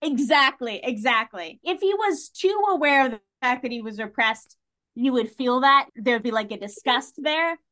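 A woman's voice: sits high, animated, normal speed, bright, forceful tone. A woman talking casually and analytically as a guest on a podcast.

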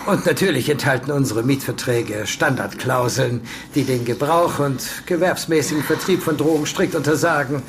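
An actor playing a middle-aged, evasive and condescending property manager mixed up in crime, in an audio drama. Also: male voice, mid-range voice, moderate pace, few pauses, background noise, natural voice. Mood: smug, evasive. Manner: evasive, condescending, swearing when rattled. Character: cornered, sneering older property manager in crime business.

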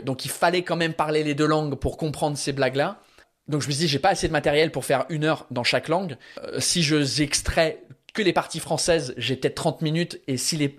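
A man in his thirties talks in a slightly raised register, speaking a language that is not his native one. He answers in a loose, casual way, full of hesitations and restarts, and slips in phrases of English. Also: fairly high pitch. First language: not French. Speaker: stand-up comedian, guest. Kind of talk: relaxed interview answer.

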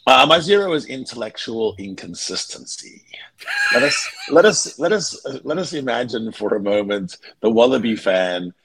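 A man co-hosting a rugby podcast who is hoarse from losing his voice, talking in a wry, joking way with halting fillers. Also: medium pitch, sing-song, a bit bright, low-quality recording. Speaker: podcast co-host. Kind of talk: casual podcast chat.

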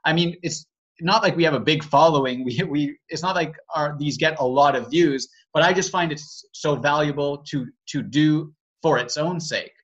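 Higher-pitched man on slightly dull podcast audio. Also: moderate pace, breathy edge. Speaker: podcast co-host. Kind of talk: casual conversational podcast chat.

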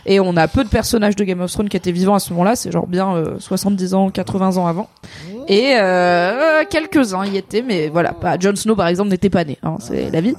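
A woman's voice: mid-range voice, darkish tone, no room sound. A woman hosting a casual pop-culture chat, talking at length and explaining eagerly with lots of fillers. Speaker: podcast host.

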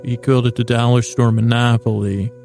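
A man with a gravelly voice hosting a bedtime podcast in a halting, stammering, meandering monologue. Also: mid-range voice, little pitch movement, darkish tone, soft background music. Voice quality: gravelly, creaky voice. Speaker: podcast host.

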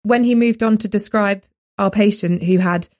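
Woman podcast host, chatty, laughs a lot, mid-pitched everyday voice. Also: moderate pace, a little mellow. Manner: chatty, laughs often, quotes her own thoughts aloud. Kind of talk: casual book discussion chat.

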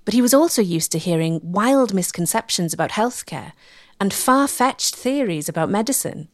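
Female documentary narrator, mid-range voice with lively intonation, measured and emphatic, dry studio sound. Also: medium pitch, dry recording.

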